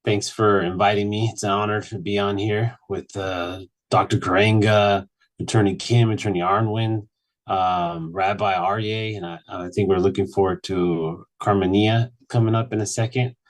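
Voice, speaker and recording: A man in his late thirties, from Mexico, telling his personal story on a panel in an earnest, halting way, with many restarts. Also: on the low side, steady tone. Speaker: panel speaker. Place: virtual event.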